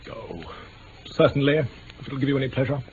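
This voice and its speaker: A man's voice: medium pitch, animated, a little fast, a little mellow, faint music behind, lightly acted. A man playing a stiff, wounded English lord in vintage radio drama, with a formal, clipped upper-class British accent. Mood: icy, offended. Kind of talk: 1950s-60s BBC radio drama acting. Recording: dull vintage radio recording, faint noise.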